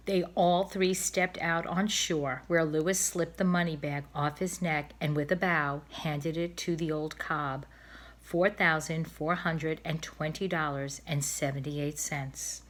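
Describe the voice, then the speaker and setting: A woman narrates a children's book with expressive, deliberate phrasing. Her voice sits a little low, yet it is bright and forceful. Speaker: storybook reader.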